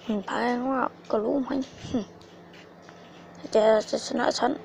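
A woman improvising a story with acted character lines, speaking haltingly and repeating phrases, on an amateur phone recording. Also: a little mellow, slight background noise.

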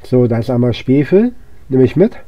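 A hoarse man with a cold giving casual, rambling gameplay commentary, with lots of fillers and restarts. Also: mid-range voice, big pitch swings, normal speed, dry recording, dull audio. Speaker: let's play gamer.

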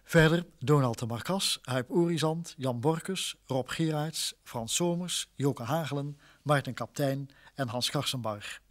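A man's voice: mid-range voice, measured pace. A man reading scripted credits as a radio drama announcer.

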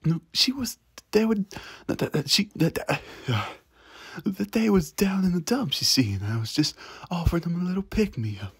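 A man playing a young demon son in an ASMR audio roleplay, cocky but soft-hearted, stammering and informal. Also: high voice, lively intonation, moderate pace, slightly rough, no room sound, clean studio sound, pitched above the performer's own voice, exaggerated voice. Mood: flustered, pleading.

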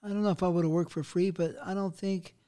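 Man, middle-aged, high-pitched, boisterous, joking, podcast host, small studio. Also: steady tone, a little fast, warm tone, smooth. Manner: boisterous, crude jokes, laughs a lot. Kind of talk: casual interview chat. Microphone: studio microphone, wearing headphones.

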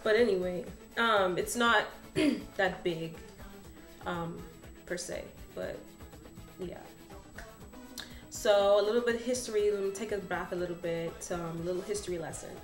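A woman's voice: mid-range voice, unhurried. A young woman chatting animatedly as she explains things to her camera in a small room. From Bahamas. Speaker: vlogger. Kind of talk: casual explainer vlog. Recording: echoey room with faint background music.

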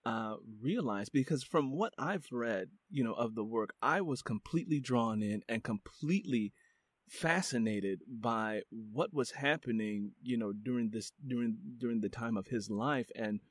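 A man's voice: medium pitch, a little slow, husky, no room sound, studio quality. A man hosting a book podcast, chatting in a friendly, rambling way with fillers and restarts. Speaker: podcast host. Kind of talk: casual interview chat.

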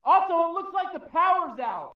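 Anxious everyman, high-pitched and echoey. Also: sing-song, normal speed, heavy background noise. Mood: urgent, pleading. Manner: rambling, hesitant, earnest. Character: anxious, pleading, well-meaning everyman on a mission. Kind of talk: VR game radio-drama acting. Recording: echoey, distant, muffled.

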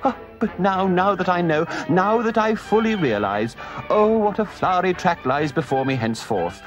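A man voicing a boastful male toad gentleman who gushes in a dreamy, grandiose way, as part of a storybook reading. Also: on the high side, very animated, takes it slowly, music in the background, pitched above the performer's own voice, exaggerated voice, rough recording. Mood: rapturous.

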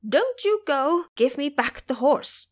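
A woman voicing a brash, hot-tempered male monkey warrior in an audio drama, shouting and defiant. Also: sits high, big pitch swings, takes it slowly, voice pushed up, heavily acted. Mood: angry shouting.

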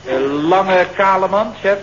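An earnest, high-voiced, stammering underling. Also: male voice, a little slow, fairly bright tone. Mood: earnest, shaken. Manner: stammering, hesitant starts, dutifully reporting. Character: earnest, deferential, naive male office subordinate. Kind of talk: 1970s Dutch radio comedy acting. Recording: muffled old radio recording, faint noise.

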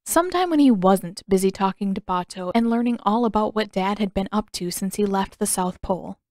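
A woman's voice: mid-range voice, fairly quick, darkish tone, no room sound, studio quality. A woman reading a fan fiction story aloud as scripted audiobook narration. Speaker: podfic narrator and author.

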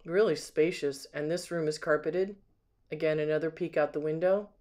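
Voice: mid-range voice, fairly even intonation, normal speed, darkish tone. A home sales agent giving a casual, unscripted walkthrough narration, admiring and describing things item by item, recorded on a handheld camera inside an empty house. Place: indoors, rooms of an empty house.

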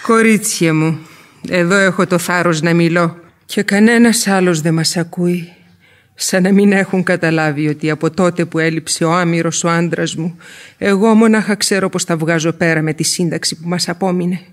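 A woman's voice: lowish voice, steady tone, natural voice. An actress playing a garrulous, complaining, impoverished widow and mother in a radio play, lamenting at length. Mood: aggrieved lamenting. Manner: plaintive, long-winded lamenting. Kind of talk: radio theatre acting in Greek.

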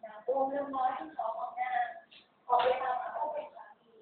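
High-pitched teenage girl telling a story. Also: fairly quick. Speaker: student storytelling contestant. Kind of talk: dramatic moral storytelling, scripted narration. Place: school competition room. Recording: distant, phone-like, echoey hall with noise.

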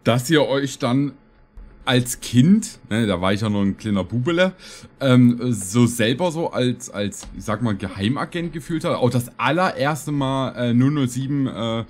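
Guy in his 30s doing casual reaction commentary, rambly and jokey, normal pitch. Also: lively intonation, unhurried. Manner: rambling, joking. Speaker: reaction video host. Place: small streaming room indoors.

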